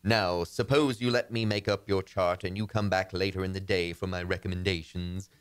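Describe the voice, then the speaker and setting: An actor playing a suave, self-assured astrologer in a radio comedy, with a soothing, theatrical manner. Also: male voice, low voice, steady tone, normal speed, smooth, close to an everyday voice. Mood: smooth, professional. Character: smooth, self-assured male astrologer selling charms to clients. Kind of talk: old-time radio comedy acting.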